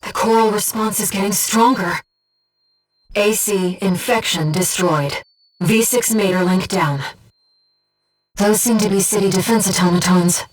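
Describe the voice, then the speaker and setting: A woman voicing a gentle, earnest disembodied female companion in a video game, speaking formally and with measured composure as mission support. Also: mid-range voice, far from the mic, lightly acted, poor audio. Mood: composed, informative. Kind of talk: scripted video game voice acting, mission briefing.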